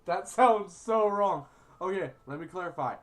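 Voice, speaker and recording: A woman's voice: fairly low pitch, lively intonation, normal speed. A woman giving casual, crude and mocking commentary on a visual novel playthrough. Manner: crude, mocking, heavy swearing. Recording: noticeable background noise.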